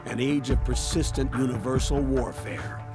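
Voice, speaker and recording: A man voicing a grave, older military commander giving a measured briefing in a video game. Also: mid-range voice, music in the background, natural voice.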